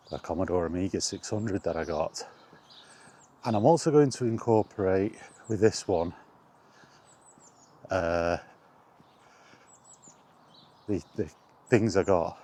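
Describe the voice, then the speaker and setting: A man's voice: low-pitched, warm tone. A man chatting casually as the host of a walking vlog, thinking aloud in short, broken phrases outdoors. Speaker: host of a walk-and-talk retro gaming vlog. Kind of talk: casual rambling chat. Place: outdoors on a walk.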